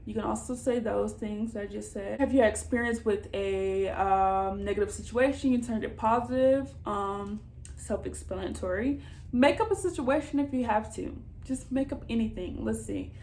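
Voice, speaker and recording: A young woman with an American accent speaks in a somewhat high-pitched voice. She talks informally, like a vlogger chatting to viewers. Her advice comes across as casual and reassuring. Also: moderate pace, a little mellow, slight background noise. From Southern United States. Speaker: vlogger giving job advice. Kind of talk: casual advice chat to camera.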